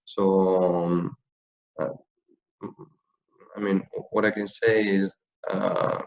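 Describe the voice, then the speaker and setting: Guy on a webinar, really deep gravelly voice, muffled call audio. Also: level tone, extremely slow. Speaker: panel guest, corporate sustainability lead. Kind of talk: webinar panel answer. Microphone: video call.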